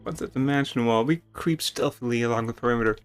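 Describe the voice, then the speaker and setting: A man's voice: mid-range voice, quick pace, smooth. A man streaming a dramatic, theatrical reading of game text, with casual asides to viewers. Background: music.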